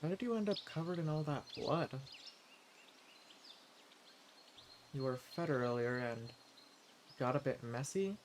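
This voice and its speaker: A man playing a friendly, eager adventurer and forager in a fantasy roleplay audio drama, speaking politely and earnestly with gentle hesitation. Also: medium pitch, animated, normal speed, a little hiss or hum, lightly acted. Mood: curious, polite. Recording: clear outdoor nature ambience with birdsong. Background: forest ambience, birds.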